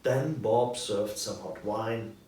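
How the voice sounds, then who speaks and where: A man narrating a story expressively from a script, with dramatic, clearly separated phrases. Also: on the low side, takes it slowly, darkish tone. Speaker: narrator, audiobook reader. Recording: roomy, distant, faint background noise.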